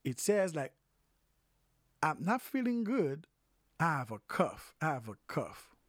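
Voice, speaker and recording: Slow, repetitive phrase teacher. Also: medium pitch, very animated, husky, no echo, clean studio sound. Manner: slow, repeats each phrase several times; hesitant, with self-corrections. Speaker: language teacher. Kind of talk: informal phrase lesson for health workers.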